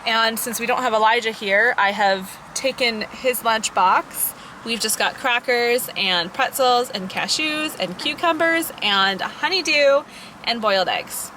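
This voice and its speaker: Higher-voiced woman vlogging over some background noise. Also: a little slow, bright, forceful tone. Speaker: family vlogger and homeschooling mother. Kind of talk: casual vlog chat.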